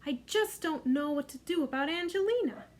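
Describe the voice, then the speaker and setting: A woman's voice: high voice, expressive, normal speed, pitched above the performer's own voice. A woman voicing an exasperated but loving mouse mother in a storybook, calling out in a raised, pleading, scolding voice.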